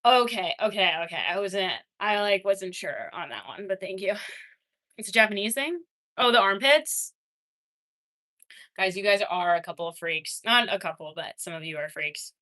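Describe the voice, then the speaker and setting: A young adult woman streaming, casually chatting with her viewers and reading their messages, laughing often and swinging between excited and quiet. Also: mid-range voice, normal speed. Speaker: livestreamer reading chat. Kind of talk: casual livestream chat.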